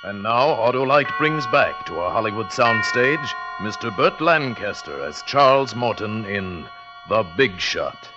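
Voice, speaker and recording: A man announcing a 1940s radio show with a portentous, drawn-out dramatic delivery. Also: on the low side, expressive. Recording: dull 1940s radio recording, loud music.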